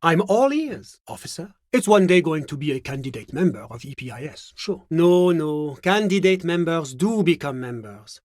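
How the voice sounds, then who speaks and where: Suave, evasive bureaucrat with swooping intonation. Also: male voice, fairly high pitch, big pitch swings, normal speed, few pauses, a little mellow, no echo, clean studio sound. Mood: insistent, evasive. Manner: urbane, coy, condescending, theatrical. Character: suave, evasive male international bureaucrat, smug and genteel. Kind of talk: video game character dialogue.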